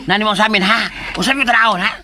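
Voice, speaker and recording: A man's voice: high-pitched, quick pace, background noise, lightly acted. A man playing a cheeky, flustered servant in a comedic radio drama, whiny and pleading as he placates and teases. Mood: flustered, protesting.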